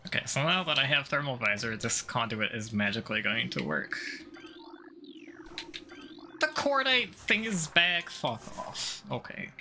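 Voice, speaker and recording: Man with lively, creaky gameplay commentary. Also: mid-range voice, measured pace. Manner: sweary, self-deprecating. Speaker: video game streamer.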